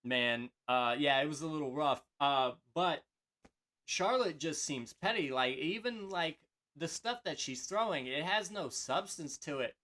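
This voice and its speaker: A man's voice: on the high side, measured pace, bright, forceful tone. A man co-hosting a casual wrestling podcast, rambling with many fillers and restarts. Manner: rambling, filler-heavy, hesitant. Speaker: podcast co-host.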